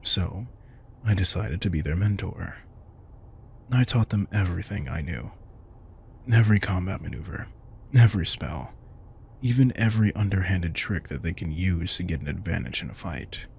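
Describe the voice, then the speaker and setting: A man's voice: deep voice, moderate pace, creaky, a little mellow, slight background noise. A man playing a centuries-old vampire king, wry and protective, talking casually and intimately to the listener in an audio roleplay. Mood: wistful. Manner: casual, reflective, swearing, addresses listener directly. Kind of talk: intimate scripted audio roleplay, conversational monologue.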